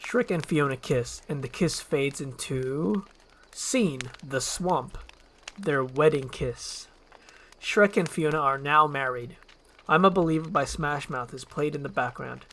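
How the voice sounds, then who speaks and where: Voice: medium pitch, measured pace, a bit bright, a little hiss or hum. A narrator reading out screenplay action in a scripted storytelling style.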